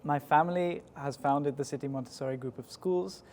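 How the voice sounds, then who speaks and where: A man in his twenties with a southern English accent, giving measured, articulate and polite interview answers in a formal way. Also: fairly high pitch, darkish tone. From United Kingdom. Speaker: school executive, interview guest. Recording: echoey hall with background noise.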